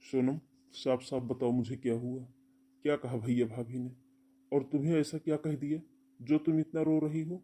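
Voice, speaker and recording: A man's voice: mid-range voice, flat delivery, normal speed, warm tone, lightly acted. A man voicing a protective, defiant young brother, earnest and firm, in a melodramatic cartoon story. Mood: concerned, resolute.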